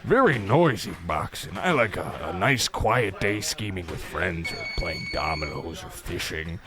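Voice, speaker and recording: A man playing a simple, reluctant young lowlife boxer in a radio comedy, plain-spoken and excitable. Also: a little slow, over loud music. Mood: sheepish, baffled. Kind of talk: scripted radio comedy acting.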